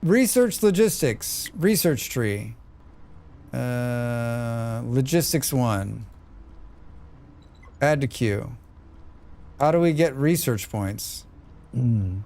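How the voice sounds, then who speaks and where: A man's voice: medium pitch, expressive, extremely slow, long pauses, darkish tone. A man with a scratchy voice, muttering and thinking aloud as he streams a game. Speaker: streamer playing a demo. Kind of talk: live gameplay commentary.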